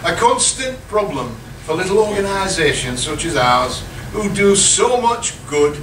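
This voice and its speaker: Man acting earnest church committee man, high voice, formal, faltering, roomy radio play. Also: big pitch swings, measured pace. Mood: eager, earnest. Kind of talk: amateur radio comedy acting. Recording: noisy, echoey live room, distant mic.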